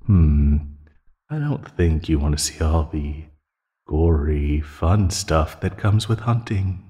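A man playing a teasing, menacing naga predator in an ASMR roleplay, condescending and taunting in his delivery. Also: low-pitched. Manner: slow, taunting, condescending, with soft chuckles and sighs. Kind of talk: ASMR roleplay audio drama. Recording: long hollow reverb.

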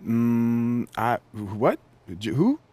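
An actor playing an easygoing young American basketball rookie in a game cutscene, talking in a laid-back, slangy way. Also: male voice, mid-range voice, big pitch swings, drawn-out words, darkish tone. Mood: relaxed, modest. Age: young adult. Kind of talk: naturalistic game cutscene dialogue.

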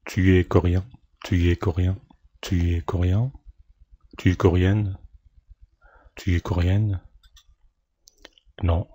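A man teaching a French dialogue, saying each line slowly and repeating it several times, with short explanations in between. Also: deep voice, mellow, husky, dry recording.

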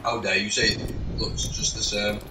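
A man's voice: fairly low pitch, little pitch movement, moderate pace, roomy sound, recorded from a distance, background noise. A teenage man with a South Yorkshire accent, chatting and teasing while playing a shooter game. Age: teens. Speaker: gaming co-player. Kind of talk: casual gameplay chat. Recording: game audio with gunfire behind. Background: gunfire from the game.

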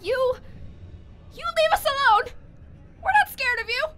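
A woman's voice: very high-pitched, lively intonation, measured pace, music in the background. A woman voicing a brave, indignant little skeleton boy, childlike and pleading, in a comic dub. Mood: scared but defiant. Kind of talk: dramatic comic dub voice acting.

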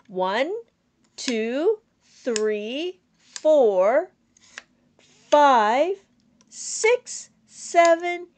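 A middle-aged woman reading a children's counting book aloud as a teacher, animated and sing-song, drawing out words for young children. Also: on the high side, a little hiss or hum. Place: small indoor room at home.

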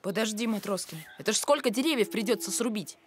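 A woman voicing a sensible, resourceful young village boy who explains things matter-of-factly in an animated cartoon. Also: mid-range voice, quick pace, close to an everyday voice. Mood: concerned.

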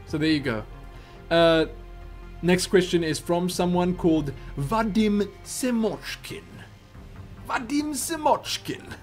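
A young man in his twenties with a South African English accent, answering viewer questions in a chatty, animated way from a small home room. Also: sits high, takes it slowly, soft background music. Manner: animated, chatty, theatrical asides. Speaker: YouTube gaming host. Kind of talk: casual Q&A vlog.

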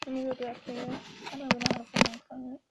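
A woman's voice: on the high side, little pitch movement, racing. A teenage girl chatting casually with her friend in a school hallway, bossy and giggly, sometimes dropping to a whisper, recorded on a close laptop webcam. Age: teens. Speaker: vlogger. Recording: dull lo-fi webcam audio with clear background noise.

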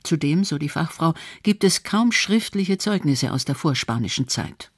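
A man's voice: on the high side, animated, normal speed, husky, dry recording, clean studio sound. A man narrating a documentary from a script as its narrator.

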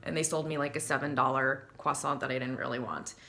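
A woman in her thirties speaks straight to the camera from a small room indoors, chatty and animated as she teaches singing. Her voice is bright and forward, and she drops readily into short sung phrases to illustrate. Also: a bass voice, quick pace. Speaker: vocal coach.

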